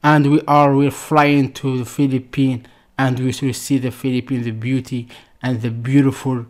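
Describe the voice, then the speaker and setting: A man's voice: mid-range voice, level tone, moderate pace, darkish tone. A man in his thirties with an Algerian accent and non-fluent English gives effusive, casual reaction commentary, often repeating words and phrases. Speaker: reaction video host.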